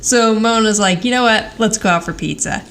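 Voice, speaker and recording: A woman's voice: medium pitch, normal speed, crowd noise. A woman co-hosting a podcast, casually recapping in a chatty way and acting out bits of dialogue as she retells. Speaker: podcast co-host. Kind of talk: casual TV rewatch recap chat. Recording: clear background noise.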